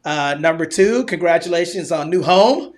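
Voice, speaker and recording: A man with a fairly high voice hosts a casual podcast interview. He talks informally and likes to banter, keeping things jovial as he puts his questions. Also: lively intonation, moderate pace. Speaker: podcast host.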